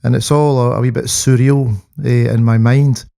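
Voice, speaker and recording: Middle-aged Scottish male guest, creaky and mellow, reflective personal recollection. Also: mid-range voice, fairly even intonation, normal speed. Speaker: podcast guest.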